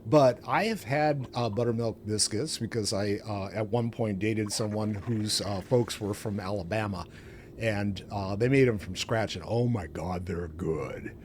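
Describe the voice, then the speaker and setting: A man's voice: lowish voice, measured pace, darkish tone. A man hosting a casual game stream, rambling along in a self-deprecating way. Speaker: streamer, show host. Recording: clearly noisy home stream audio.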